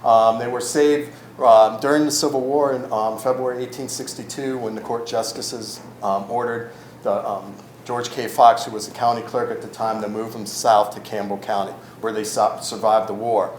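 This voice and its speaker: A man giving an informal public talk as a records manager, loud-voiced, with many ums and self-corrections, speaking without a microphone in an event room. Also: mid-range voice, fairly even intonation, moderate pace. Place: event room in a historic house. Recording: roomy echo with faint ambient noise.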